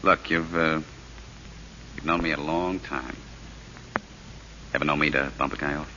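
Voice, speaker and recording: An actor playing a tough, guarded gangster prisoner in an old radio drama, with clipped slangy talk. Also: male voice, rumbling low, steady tone, measured pace, sharp tone, heavily acted. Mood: hard, dismissive. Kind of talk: 1940s radio drama acting. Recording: dull, hissy old radio recording.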